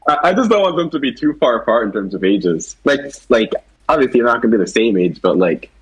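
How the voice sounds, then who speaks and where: A man chatting casually as a co-creator about original characters, slangy and hesitant, quick to laugh. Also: medium pitch, very animated, breathy edge, low-quality recording. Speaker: co-creator of an animated series project.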